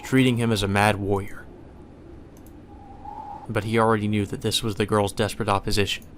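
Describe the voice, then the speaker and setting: A man reading a visual novel aloud as dramatic, solemn and measured narration. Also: fairly low pitch, a little hiss or hum. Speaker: narrator, let's play reader. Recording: music behind the voice.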